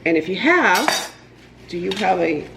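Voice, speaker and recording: A woman's voice: fairly low pitch, big pitch swings, measured pace, creaky, background noise. A woman vlogging casually in her home kitchen, nasal from a cold, rambling with lots of ums as she bakes. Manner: rambling, with many ums and restarts. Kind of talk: casual chatty cooking vlog. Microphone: camera propped on the counter.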